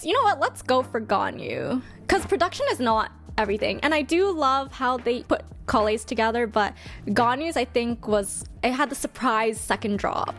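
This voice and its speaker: A woman's voice: on the high side, lively intonation, moderate pace, fairly bright tone, soft background music. A woman giving casual, chatty reaction commentary as host, with a playful, exclamatory manner. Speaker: host ranking game trailers.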